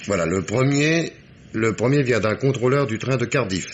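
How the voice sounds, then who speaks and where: An actor playing a sardonic, dogged police inspector in a French radio mystery play, with ironic, emphatic diction. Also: male voice, medium pitch, moderate pace, highs cut off, a little hiss or hum, lightly acted. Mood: deferential, reporting.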